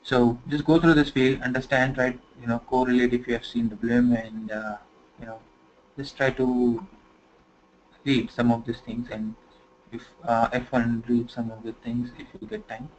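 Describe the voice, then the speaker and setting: A man teaching software configuration in a screen-recorded tutorial, talking conversationally and filling pauses with "you know". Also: mid-range voice, steady tone. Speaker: software trainer. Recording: slightly dull lo-fi screen recording with faint noise.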